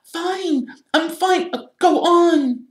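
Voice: very high voice, very slow, reverberant, voice pushed up, exaggerated voice. A man voicing a nervous, reluctant teenage witch girl who stammers, in a storybook reading. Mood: flustered, reluctant.

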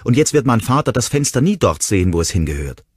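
Ein Mann spricht eine junge Frau, verletzt und wütend, mit schrillen, flehenden Ausbrüchen. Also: male voice, very animated, moderate pace, husky, dry recording, clean studio sound, heavily acted. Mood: upset. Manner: shrill, pleading outbursts. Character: young woman, hurt and furious.

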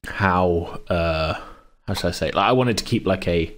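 A man's voice: deep voice, normal speed. A man talking casually as host and game master, in a rambling, hesitant, self-correcting way. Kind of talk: casual chat about a tabletop game.

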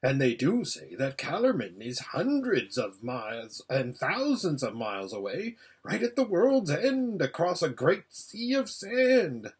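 A man voicing an easygoing, complacent little hedgehog from a storybook, chatty and folksy in an unhurried, rambling way. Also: a little high, wildly swooping pitch, slightly rough, heavily acted. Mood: mild wonder.

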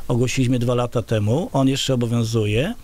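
A man's voice: mid-range voice, steady tone, brisk, darkish tone. Hesitant middle-aged man in a radio studio. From Poland. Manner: hesitant, many filler sounds and restarts. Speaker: village head, interview guest. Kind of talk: radio studio interview answers. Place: small radio studio, indoors. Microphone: large studio microphone on a boom arm.

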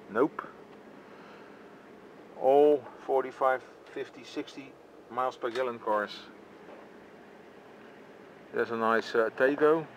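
Slow-talking Dutch man in a noisy car. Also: medium pitch, a bit bright. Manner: slow, musing, talks to himself in short remarks. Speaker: vlogger filming from a car. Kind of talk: casual running commentary. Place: inside a car in city traffic. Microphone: camera mounted on dashboard. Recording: noisy car interior with engine.

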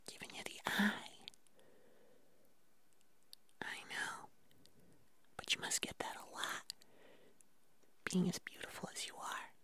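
A woman doing a gentle, pampering ASMR roleplay, speaking with many pauses. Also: medium pitch, husky. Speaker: ASMR performer. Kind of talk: whispered ASMR personal attention roleplay.

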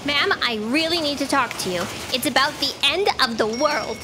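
A woman's voice: sits high, normal speed, over music, lightly acted. A young woman playing an earnest, insistent junior underling in a staged audio drama. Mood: urgent. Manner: theatrical, insistent. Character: earnest, urgent, frank female junior underling. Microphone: stand microphone on a theatre stage.

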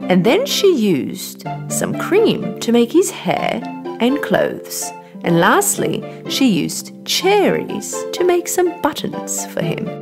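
A woman tells a children's story in an expressive scripted style. Her voice is high and extremely animated, set over clear background music. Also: slow pace.

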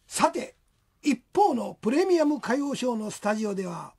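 A narrator of a scripted radio drama, husky, addressing listeners like a storytelling showman. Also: mid-range voice, big pitch swings, moderate pace, a little mellow.

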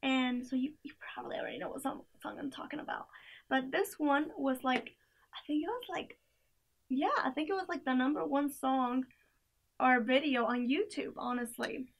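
A young woman talks through a playlist in a relaxed, chatty way, stacking up fillers and small giggly asides. Her voice sits high and carries plenty of gravelly fry. She is recorded on a camera mic in a small indoor room. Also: moderate pace. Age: young adult. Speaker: playlist reviewer. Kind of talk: casual chat.

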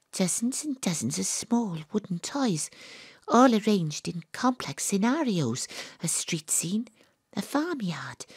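Excitable young Welsh female sleuth, audio drama, breathless suspense, close dry studio sound. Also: lowish voice, breathy edge, dry recording, heavily acted. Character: Astrid, excitable young Welsh woman investigator, narrating. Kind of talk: audio drama narration and dialogue.

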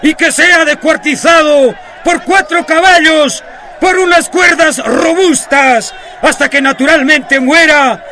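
A stern colonial official proclaims in a radio play. The voice is very high and sharp, shouted slowly and solemnly, over crowd noise. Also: male voice, heavily acted. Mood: stern, proclaiming. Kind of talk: radio drama acting, proclamation.

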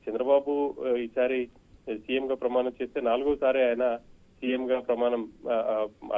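A man reporting as a news correspondent over the telephone, halting and hesitant in broken phrases. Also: medium pitch. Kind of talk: live unscripted phone report. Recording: muffled narrow-band phone line.

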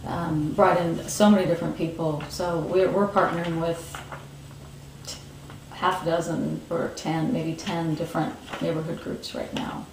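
A lower-voiced woman in a roomy room. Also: flat delivery, distant mic, noisy background. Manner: thoughtful, pauses with fillers and restarts. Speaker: market organizer. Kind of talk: interview answers. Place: indoor room with bookshelves, seated in armchair.

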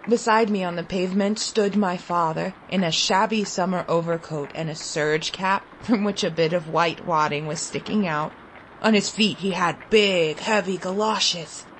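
A woman narrating a literary short story in a deliberate, expressive, dramatic way with long pauses. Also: lowish voice, a little hiss or hum, rough recording. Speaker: audiobook story reader.

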